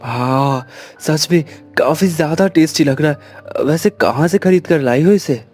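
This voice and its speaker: A man voicing easygoing, appreciative Indian family men in an animated story, chatty and hearty. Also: fairly high pitch, unhurried, faint music behind. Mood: pleased, curious. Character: easygoing, appreciative Indian husband and his friendly pal.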